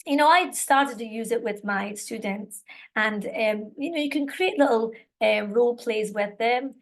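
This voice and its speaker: Chatty Scottish woman, fairly high voice. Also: a little slow. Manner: chatty, encouraging, lots of "all right" and "okay" check-ins. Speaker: webinar presenter and teacher trainer. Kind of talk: live webinar teaching.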